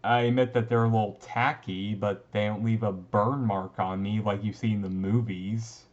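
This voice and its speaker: A man playing an easygoing, resigned teenage vampire classmate in a casual, confiding ASMR roleplay, talking haltingly. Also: lowish voice, steady tone, highs cut off, lightly acted. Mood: casual, amused. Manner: halting, conversational, mildly resigned. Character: brooding, easygoing male teenage vampire classmate, secretly thirty-seven.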